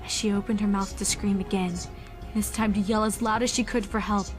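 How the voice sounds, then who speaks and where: A woman's voice: mid-range voice, flat delivery, fairly quick, music in the background. A woman giving a dramatic scripted narration of a horror story.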